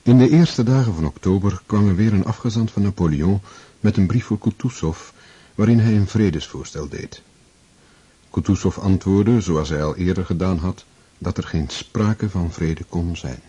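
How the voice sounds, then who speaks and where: A man narrating a radio drama in a measured, formal, literary storytelling manner. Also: sits low, mellow, husky, no echo, lo-fi sound. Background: music.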